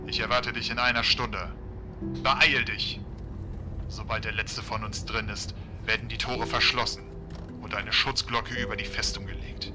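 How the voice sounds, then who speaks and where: An actor playing a gruff, stubborn boss in an audio drama, curt and commanding in his delivery. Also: on the low side, level tone, moderate pace, over music, close to an everyday voice. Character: gruff, stubborn, swearing male boss of the ferrymen.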